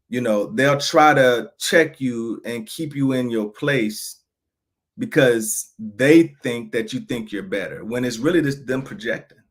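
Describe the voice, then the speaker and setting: A man in his thirties giving an unscripted personal monologue, frank and aggrieved, often restarting and repeating phrases. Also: fairly low pitch. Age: 30s. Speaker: vlogger.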